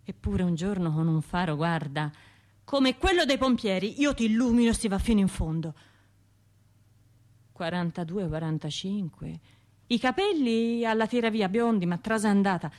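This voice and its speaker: An actress playing an anguished, guilt-ridden Tuscan woman survivor in a radio drama monologue, halting and arguing with herself. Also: medium pitch, lively intonation, darkish tone, lightly acted. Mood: wry, agitated. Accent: Tuscan Italian. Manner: halting, self-questioning, argues with herself.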